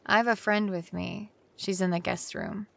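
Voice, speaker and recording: A woman's voice: medium pitch, fairly even intonation, normal speed, gravelly, no echo, lightly acted. An actress playing an amazed, curious young woman visiting a sci-fi city in an audio drama. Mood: hesitant, friendly. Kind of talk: full-cast audio drama acting.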